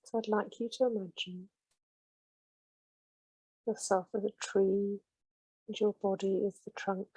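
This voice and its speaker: A woman leading a guided meditation with slow, soothing, gentle instructions. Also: mid-range voice, flat delivery, slightly rough, no echo, poor audio.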